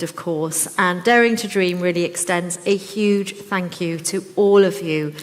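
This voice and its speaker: A woman, presenting for a charity and giving a measured, formal thank-you speech. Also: medium pitch. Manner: earnest, measured, switching into Welsh. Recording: long reverb of a live venue.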